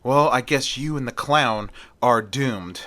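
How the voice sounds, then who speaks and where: A man voicing a cold, menacing scientist supervillain with a deliberate, formal manner as part of a story. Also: mid-range voice, bright, forceful tone. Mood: cold explaining. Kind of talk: amateur storytelling character voice.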